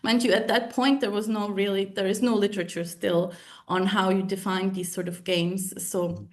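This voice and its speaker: A woman's voice: mid-range voice, little pitch movement, moderate pace. A woman from the Republic, presenting research at a lab meeting, hesitant with many fillers and restarts. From Czech Republic. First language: Czech. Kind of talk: academic presentation with Q&A.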